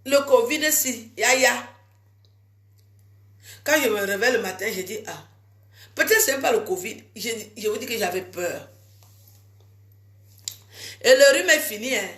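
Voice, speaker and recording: A woman's voice: a little high, lively intonation, a little slow, pauses often, a bit bright. Teasing, chatty woman in an echoey room. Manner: chatty, teasing and scolding her viewers. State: has a cold. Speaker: vlogger. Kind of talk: casual chat to fans.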